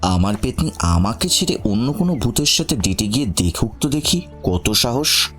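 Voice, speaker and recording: A man's voice: medium pitch, very animated, moderate pace, fairly bright tone, faint music behind. A man playing an affectionate, teasing young husband in a romantic audio story, with an intimate, playful manner. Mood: teasing, possessive.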